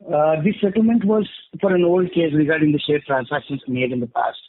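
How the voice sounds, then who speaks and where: A man's voice: on the high side, steady tone, fairly quick, a little hiss or hum. Indian man on a tinny phone line. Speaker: company executive. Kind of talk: formal investor call statement.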